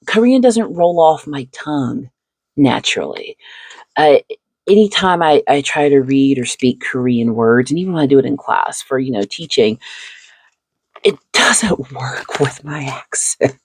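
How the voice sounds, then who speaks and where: A woman's voice: extremely low pitch, lively intonation, unhurried, pauses often, slightly rough, no echo. A woman hosting a casual chat while sleeving cards, reflective and chatty, laughing often between thoughts. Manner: seated, talks while handling cards. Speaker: channel host.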